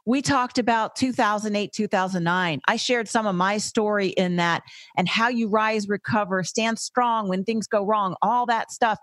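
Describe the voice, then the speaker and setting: A woman's voice: medium pitch, normal speed, a bit bright, dry recording. Effusive chatty woman podcast host. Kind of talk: casual interview chat.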